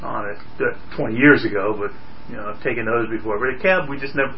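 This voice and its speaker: A man's voice: mid-range voice, fast talker, distant mic. Blunt country-raised man, swears a lot, muffled recording, faint hiss. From rural area. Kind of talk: casual chat.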